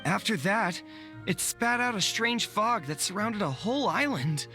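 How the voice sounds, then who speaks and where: Earnest, hesitant young royal subject. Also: male voice, high voice, lively intonation, measured pace, bright, forceful tone, heavily acted. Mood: alarmed, grave. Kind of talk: video game voice acting. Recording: music under the voice.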